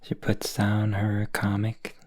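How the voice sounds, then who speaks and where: A man telling a bedtime story in a soft ASMR whisper. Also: on the low side, completely flat delivery, takes it slowly, no echo.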